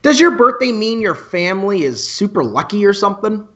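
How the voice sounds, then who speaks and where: High-voiced earnest teenage boy radio host played by a man. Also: sing-song, brisk, fairly bright tone, lo-fi sound, exaggerated voice. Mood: upbeat. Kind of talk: amateur radio play, mock school broadcast.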